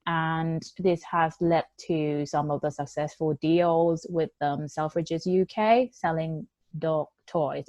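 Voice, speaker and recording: Young woman talking about her business, low voice, dry sound, says um a lot. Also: no echo, lo-fi sound. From Thailand. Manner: conversational, non-native English with fillers. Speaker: entrepreneur guest. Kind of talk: interview answers.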